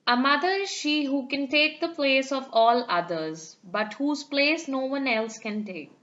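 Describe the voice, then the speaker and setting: A woman reading a picture book aloud to small children in an animated, deliberate way, on a slightly dull recording. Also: high voice. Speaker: storybook reader. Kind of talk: expressive read-aloud narration for small children.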